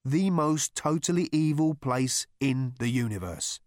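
A man's voice: medium pitch, animated, unhurried, no room sound, studio quality, voice pushed up, natural voice. A man reading an audiobook, voicing a grim space conspirator with an emphatic, ominous way of talking. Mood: scornful. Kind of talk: audiobook character voice.

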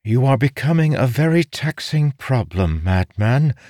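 A cool, commanding noblewoman from a fantasy storybook, voiced by a male reader. Her speech is curt and imperious, lifted well above the reader's own register, with animated intonation and a dry, close sound. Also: medium pitch, measured pace, no echo, pitched above the performer's own voice.

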